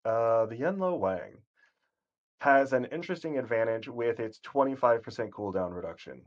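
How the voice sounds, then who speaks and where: A man giving casual, rambling commentary on a game stream, with a calm, soothing voice and frequent self-corrections. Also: mid-range voice, moderate pace, lo-fi sound. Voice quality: soothing, sleep-inducing voice.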